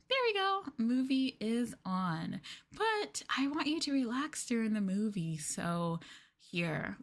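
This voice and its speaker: Woman acting a high-voiced, slow, possessive yandere girlfriend. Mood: soothing, doting. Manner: cooing, coy, drawn-out endearments. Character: sweetly possessive, obsessive young yandere girlfriend. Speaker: ASMR roleplay performer. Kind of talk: yandere girlfriend roleplay ASMR.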